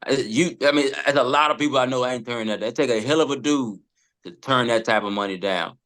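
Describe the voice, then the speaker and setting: A man's voice: lowish voice, a bit bright. A man on a podcast panel chatting casually in slang, stammering over repeated words as he makes his point.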